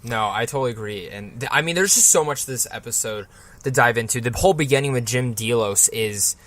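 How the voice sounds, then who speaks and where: A man's voice: medium pitch, fast talker, a bit bright. A man on a podcast panel giving enthusiastic, conversational opinions in a casual review chat.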